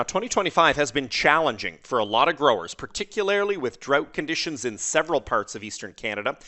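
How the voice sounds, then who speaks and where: A man hosting an agricultural podcast, reading a smooth, upbeat scripted introduction. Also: a little high, pushed and bright. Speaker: podcast host.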